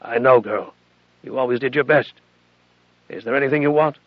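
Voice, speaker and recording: A man voicing a weary, tender colonel who speaks softly and in a fatherly way, in a dull, lo-fi recording. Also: medium pitch, normal speed, no echo, lightly acted. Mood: gentle.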